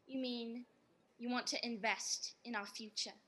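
A woman playing an earnest, sorrowful young fiancée in a radio drama, measured and pointed. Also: on the high side, steady tone, bright, forceful tone. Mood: hesitant, pointed. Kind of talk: stage-style radio drama acting.

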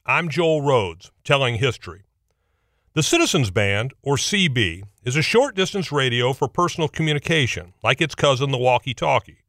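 Animated American man narrating history, dry sound. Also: fairly high pitch, no room sound. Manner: folksy, wry humor, emphatic phrasing. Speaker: history program host. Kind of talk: scripted radio essay narration.

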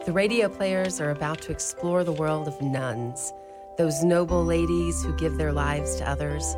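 A woman's voice: deep voice, normal speed, over music. A woman reading a scripted introduction to a community radio play.

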